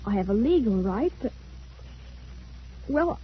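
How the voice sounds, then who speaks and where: A woman's voice: a little high, very animated, unhurried, breathy edge, exaggerated voice. An actress playing an anxious, earnest young woman, frightened yet resolute, in an old radio drama. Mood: uneasy, hesitant. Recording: dull, faintly hissy old radio recording.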